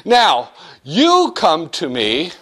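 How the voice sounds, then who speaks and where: A man's voice: fairly high pitch, wildly swooping pitch, drawn-out words, a bit bright. A man giving an economics lecture in a playful way, acting out little dialogues between a banker and customers to explain his points. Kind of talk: classroom lecture.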